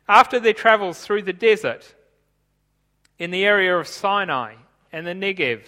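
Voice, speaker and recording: A man who grew up in Tanzania, preaching a sermon in a measured, explanatory way while reading from his notes. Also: high-pitched, sharp tone, big-room sound.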